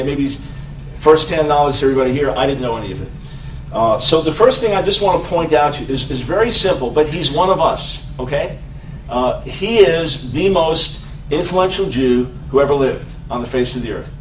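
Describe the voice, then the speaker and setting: Man from Long Island talking to a crowd, a bit high, heard far off in a roomy space. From Long Island, New York. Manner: conversational, repeats words, checks in with the audience. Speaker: speaker. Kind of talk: talk to an audience. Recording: roomy, distant mic with faint background noise.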